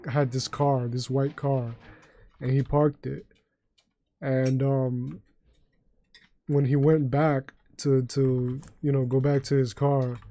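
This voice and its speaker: Young guy on a game stream, deep mellow voice, cussing and joking around, keyboard clicks behind. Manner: loose, profane, joking, sings badly on request. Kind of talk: casual gaming livestream chat.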